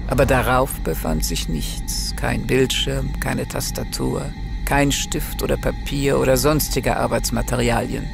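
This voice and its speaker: A man's voice: mid-range voice, vocal fry. A man narrating a scripted German horror radio drama in the third person. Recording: studio narration over prominent music.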